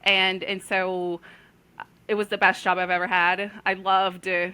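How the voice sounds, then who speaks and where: A woman in her forties from Brazil, earnestly telling her life story as a guest, pausing often on fillers. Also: lowish voice, little pitch movement, bright, forceful tone, smooth. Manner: earnest, with many filler pauses. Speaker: guest, intuitive healer. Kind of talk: casual storytelling.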